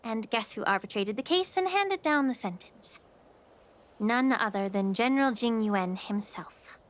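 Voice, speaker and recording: High-voiced, composed courtly woman healer. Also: lively intonation, slight background noise. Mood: grave, storytelling. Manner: measured, polite, philosophical. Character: composed, courtly woman healer official, wry and long-lived. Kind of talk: English video game voice acting. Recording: loud music under the voice.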